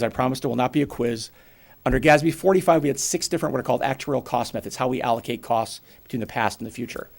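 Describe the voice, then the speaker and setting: A man in his forties with a New England accent, giving a brisk, plainspoken financial presentation to a town board and explaining with everyday examples. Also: medium pitch, creaky. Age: 40s. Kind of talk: financial presentation at a public meeting.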